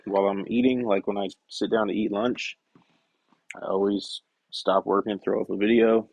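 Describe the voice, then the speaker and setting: A young man chatting casually on a work-shop live stream, rambling and correcting himself, his voice hoarse and scratchy from a cough. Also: on the low side, fairly even intonation, normal speed, lo-fi sound. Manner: rambling, self-correcting, soft-spoken asides. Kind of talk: casual live-stream chat while working.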